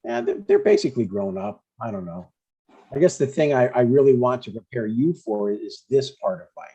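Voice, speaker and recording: An older widowed father played by a man at a table read, earnest but blunt. His voice is husky and mellow, swinging freely in pitch as he haltingly gives advice. The recording is dull and lo-fi. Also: big pitch swings. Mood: earnest, gentle. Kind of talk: scripted dialogue, comedy table read. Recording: dull, lo-fi sound.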